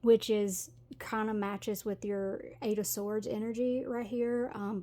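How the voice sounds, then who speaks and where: A woman's voice: medium pitch, darkish tone. A middle-aged woman with a Tennessee Southern accent, chatting her way through a tarot reading with lots of fillers and giggles. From Tennessee, USA. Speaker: tarot reader. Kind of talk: casual tarot reading to camera.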